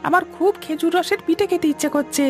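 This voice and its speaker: A woman's voice: very high-pitched, fast talker, soft background music. A woman voicing an eager little village schoolboy in a cartoon, childlike and pleading. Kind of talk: Bengali cartoon story dubbing.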